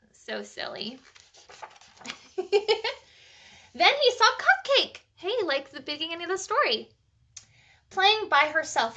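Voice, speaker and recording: A woman reading a bedtime story aloud to children in an animated, chatty way, pausing to ask the listeners questions. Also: high voice.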